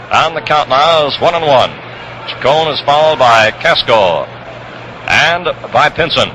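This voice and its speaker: A man calling baseball play-by-play for 1960s radio in measured, descriptive phrases. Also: mid-range voice, bright, forceful tone. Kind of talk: 1960s radio sports commentary. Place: outdoor ballpark broadcast position. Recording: noisy vintage radio broadcast, ballpark outdoors.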